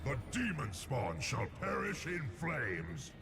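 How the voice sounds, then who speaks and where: An actor voicing a menacing, contemptuous angel commander in a video game, booming out threats. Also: male voice, wildly swooping pitch, takes it slowly, creaky, music in the background, heavily acted. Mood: wrathful menace. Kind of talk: video game villain acting.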